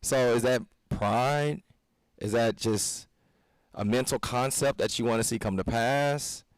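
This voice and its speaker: A man's voice: medium pitch, unhurried, no room sound. A man in his thirties co-hosting a fitness podcast, talking in an earnest, preachy way that rambles and restarts. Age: 30s. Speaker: podcast co-host, fitness coach. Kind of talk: casual podcast discussion.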